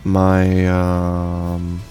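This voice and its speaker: A young adult man whose first language is Portuguese, speaking English with a Brazilian accent and giving a casual, halting channel update full of fillers and restarts. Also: deep voice, completely flat delivery, dark tone, soft background music. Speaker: channel host.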